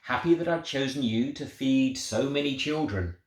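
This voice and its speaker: A man voicing a tender, proud God who slowly questions little creatures in a children's storybook. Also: medium pitch, close to an everyday voice. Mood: tender questioning. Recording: roomy, echoing sound.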